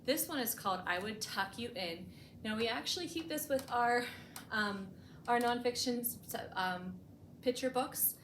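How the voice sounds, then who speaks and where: A woman reading a picture book aloud for children as a storytime host, expressively and with deliberate emphasis, adding short explanatory asides. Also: medium pitch, fairly bright tone. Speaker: librarian hosting a bedtime storytime. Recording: echoey room with clear background noise.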